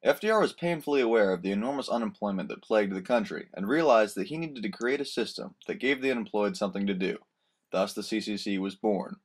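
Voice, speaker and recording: A man narrates from a script in the style of a history documentary. His voice sits a little low, and he reads as a student presenter would.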